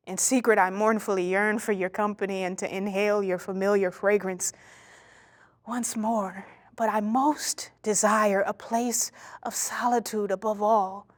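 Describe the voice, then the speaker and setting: A woman's voice: medium pitch, natural voice. An actress performing a solemn, anguished woman's poetic farewell in a formal, declamatory theatrical style. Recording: faint music underneath.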